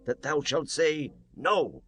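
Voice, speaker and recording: A man's voice: on the high side, expressive, takes it slowly, a bit bright, breathy edge, voice pushed up, exaggerated voice. A man playing a weary fugitive biblical army captain in a dramatized scripture reading, with pleading, old-fashioned declamation. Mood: urgent.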